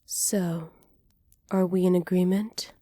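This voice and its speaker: A woman playing a flirty, cruel evil witch in a soft ASMR roleplay, teasing and smug, close to the mic. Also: fairly low pitch, steady tone, no echo. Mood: sly, businesslike. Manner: teasing, smug, unhurried, often dropping to near-whispers. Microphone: close microphone, dry.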